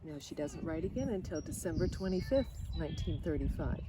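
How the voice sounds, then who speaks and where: A woman reading a children's picture book aloud in an expressive way, now and then speaking directly to her listeners. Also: low-pitched, very noisy. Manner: expressive, pausing for short asides to listeners. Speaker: storybook reader.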